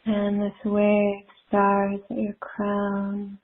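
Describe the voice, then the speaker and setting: A woman leading a spiritual guided meditation in a soothing, gentle manner with long pauses, recorded from a live call. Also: medium pitch, monotone. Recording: muffled phone-like live call audio.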